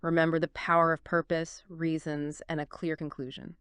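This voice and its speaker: A woman's voice: low-pitched, flat delivery, normal speed, fairly bright tone, smooth, dry recording. A woman co-hosting a conversational explainer podcast, engaged and emphatic, with punchy phrasing. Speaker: co-host of an explainer discussion.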